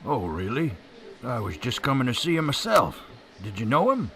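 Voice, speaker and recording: An actor playing a plain-spoken, concerned airport mechanic in an audio drama, talking casually. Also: male voice, medium pitch, sing-song, moderate pace, a bit bright. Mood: surprised, concerned.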